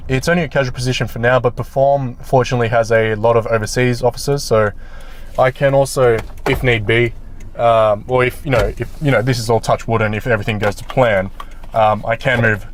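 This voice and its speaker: A loud-voiced young man chatting casually to camera from his car, rambling with lots of ums and restarts. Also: mid-range voice, fairly even intonation, brisk. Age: young adult. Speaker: sports video vlogger. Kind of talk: casual vlog chat while driving. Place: inside a car in traffic. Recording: car recording with faint road noise. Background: faint traffic noise.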